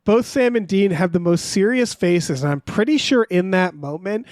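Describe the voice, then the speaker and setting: Man, podcast co-host, high voice, dry close sound, rambling incredulous comedic recap. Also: animated, moderate pace, a little mellow, no echo. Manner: rambling, incredulous, swears casually. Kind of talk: casual comedic TV recap chat.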